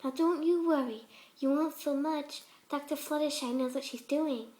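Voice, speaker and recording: Female reader as twisted pony surgeon for a horror story: very high, gentle, cooing, serenely mad. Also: moderate pace, natural voice. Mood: soothing. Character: sweet, soft-spoken, twisted female pegasus pony surgeon.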